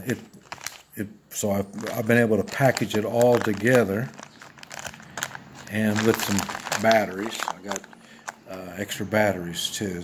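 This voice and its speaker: Slow, halting older man, fairly low voice. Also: a little hiss or hum. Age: 70s. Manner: halting, plain-spoken, repeats himself. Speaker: video host. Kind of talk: casual rambling gear demonstration.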